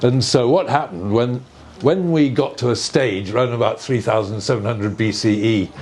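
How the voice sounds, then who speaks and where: An older man giving an informal, measured explanation in conversation, often checking with rhetorical questions. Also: medium pitch, darkish tone. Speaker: speaker on earth energies and ancient sites. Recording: noticeable background noise.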